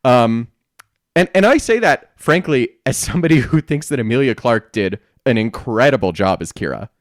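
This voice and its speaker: A man's voice: mid-range voice, expressive, normal speed, no room sound, clean studio sound. A man co-hosting a podcast, talking informally and emphatically in a casual, opinionated fan discussion. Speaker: podcast co-host.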